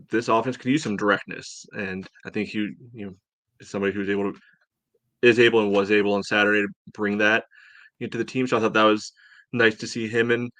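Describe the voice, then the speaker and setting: A man co-hosting a sports podcast, giving measured opinions in a casual, conversational way. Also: lowish voice, fairly bright tone, dry recording.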